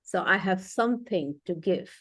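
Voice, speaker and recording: A woman's voice: medium pitch, animated, normal speed, a bit bright, no room sound. Woman, leadership coach, thoughtful, many fillers, dry recording. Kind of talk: conversational explanation.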